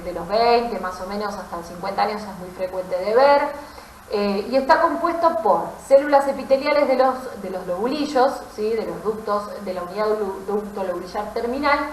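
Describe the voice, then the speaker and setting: A woman's voice: medium pitch, slight background noise. A woman with an Argentine accent giving an explanatory medical lecture, didactic, often checking understanding with short tag questions. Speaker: pathology lecturer, physician. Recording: roomy, echoey voice recording.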